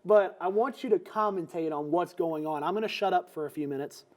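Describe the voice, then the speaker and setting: Chatty young host, slightly high voice. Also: male voice, fairly quick, darkish tone. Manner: chatty, rambling questions. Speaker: show host and interviewer. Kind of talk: casual interview while gaming.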